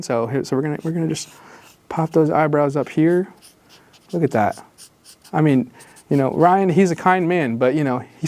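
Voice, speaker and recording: A man with a fairly high voice guides viewers through a drawing step by step, joking and wandering off into asides. His tone stays easygoing and encouraging. The sound is dry and close, with no room echo. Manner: jokey, rambling, mock-gentle encouragement with asides and laughs; drops to whispers while concentrating on drawing. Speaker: drawing instructor. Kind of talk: playful drawing tutorial.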